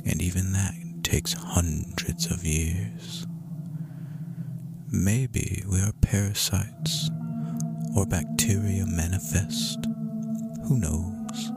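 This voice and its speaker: A world-weary, brooding vampire, acted out by a man narrates a dark horror story. The voice is low and breathy with a creaking rasp, and every phrase comes slowly and dramatically over a loud music bed. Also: heavily acted. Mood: weary contempt. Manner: slow, brooding, dramatic delivery. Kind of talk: dramatic reading of horror fiction.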